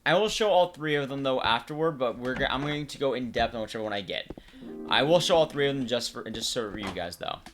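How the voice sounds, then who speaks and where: A man's voice: mid-range voice, expressive, fast, a bit bright, soft background music. A man giving casual playthrough commentary, explaining things informally and talking straight to viewers. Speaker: game commentator.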